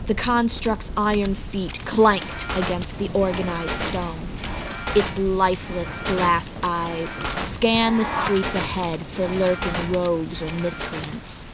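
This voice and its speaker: A woman's voice: on the low side, low-quality recording. A woman reading scripted fantasy story narration as the narrator. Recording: steady rain and storm ambience behind.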